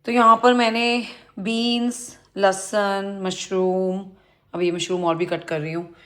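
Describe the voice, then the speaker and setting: A woman's voice: medium pitch, level tone, takes it slowly, a bit bright. A woman lifestyle vlogger talking casually to her viewers, often slipping English phrases into her Hindi. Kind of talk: casual chat to camera. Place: home kitchen while cooking.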